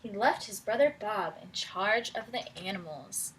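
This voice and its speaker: A woman reading a picture book aloud to children as their teacher, pausing to prompt them with questions in an encouraging way. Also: mid-range voice, expressive, a bit bright, a little hiss or hum, rough recording. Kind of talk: read-aloud storytelling with questions to young listeners.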